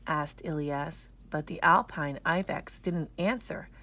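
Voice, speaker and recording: A woman reading a children's storybook aloud, with expressive, measured, careful enunciation. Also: low voice. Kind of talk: scripted read-aloud narration.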